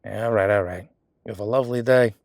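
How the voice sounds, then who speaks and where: A man acts out a chatty male records clerk in a roleplay audio drama. His voice sits fairly low and sounds very dry, with no room around it. He rambles along with a sardonic, rule-bending air and mock innocence. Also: fast talker, darkish tone, no room sound, natural voice. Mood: theatrically innocent. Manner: rambling, wry, exaggerated feigned innocence.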